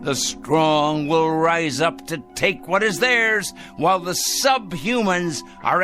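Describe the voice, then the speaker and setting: A man's voice: fairly high pitch, bright, forceful tone, heavily acted. An actor playing a paranoid, smug middle-aged man in a 1960s TV drama, talking theatrically to his pet bird. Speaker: fanatical letter-writing crank.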